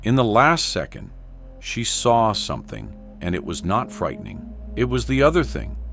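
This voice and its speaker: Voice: mid-range voice, huge pitch swings, moderate pace, creaky, a bit bright, faint music behind, full character voice. A man playing a weary, tender, wry radio host who broadcasts farewells during the apocalypse. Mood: grieving reverence. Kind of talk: scripted radio drama broadcast.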